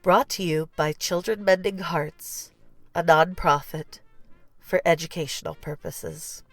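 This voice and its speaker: Woman, children's read-aloud, slow expressive narration, close dry sound, storybook reader. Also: sits low, no room sound, soft background music.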